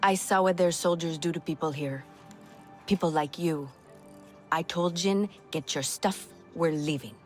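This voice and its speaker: An actress playing a tough, world-weary human fighter in a video game, blunt and wry. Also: female voice, low-pitched, unhurried, faint music behind. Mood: matter-of-fact. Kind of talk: video game dialogue acting.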